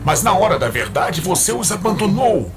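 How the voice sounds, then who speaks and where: A man playing a menacing, contemptuous demon lord in a fantasy audio drama, speaking in a slow, imperious manner. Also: sits high, big pitch swings. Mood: accusing. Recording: loud dramatic music behind.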